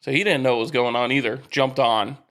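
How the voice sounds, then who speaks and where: A man's voice: medium pitch, brisk, a bit bright. A man co-hosting a sports podcast, telling stories in casual, colloquial, laid-back chat.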